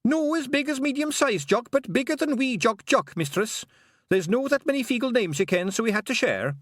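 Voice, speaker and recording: A man's voice: extremely high pitch, lively intonation, moderate pace, fairly bright tone, dry recording, studio quality, voice pushed up, full character voice. A man voicing a tiny, earnest male pixie warrior with a Scottish accent who talks at length. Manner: earnest, long-winded.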